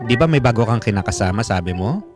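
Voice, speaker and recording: A man's voice: on the low side, animated, quick pace, a little mellow, soft background music. A Filipino man hosting a radio advice show, casually asking questions in a conversational style.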